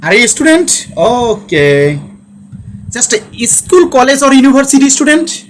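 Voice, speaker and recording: A man from Bangladesh, chatting on a live stream in halting English and asking each question several times over. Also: very high voice, big pitch swings, a bit bright, a little hiss or hum. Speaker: live-stream chat participant. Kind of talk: casual live-stream chat.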